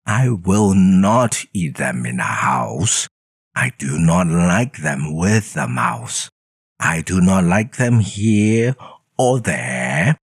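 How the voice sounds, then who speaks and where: Grouchy storybook creature, acted by a man: very low, husky, stubborn, slow and emphatic. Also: big pitch swings, dry recording, studio quality, exaggerated voice. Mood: exasperated. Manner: emphatic, grumbling refusals. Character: grumpy, stubborn, grouchy large creature refusing food. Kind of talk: storybook character voice.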